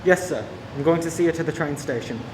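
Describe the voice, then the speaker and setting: A man's voice: fairly high pitch, fast talker, lightly acted. A man playing a protective, persuasive police sergeant in love in a radio play. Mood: guarded. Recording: echoey room with faint noise.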